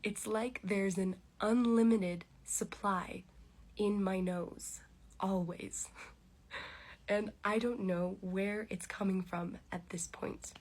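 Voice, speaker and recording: A woman hosting a slow English podcast, speaking deliberately with pauses, congested and with a cough. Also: medium pitch, fairly even intonation, darkish tone. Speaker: podcast host teaching English. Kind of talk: slow, clear English listening lesson.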